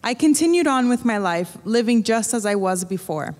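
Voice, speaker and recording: A woman's voice: on the high side, animated, normal speed, a little mellow. A woman interpreting a testimony, reading a prepared translation steadily, phrase by phrase, on a church stage. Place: church stage before an audience. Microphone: stand microphone. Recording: long reverb of a large church hall.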